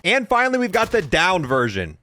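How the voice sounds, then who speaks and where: A man's voice: sits high, huge pitch swings, fairly quick, sharp tone, dry recording. A young man giving casual, informal gameplay showcase commentary as a video host, in a matter-of-fact way.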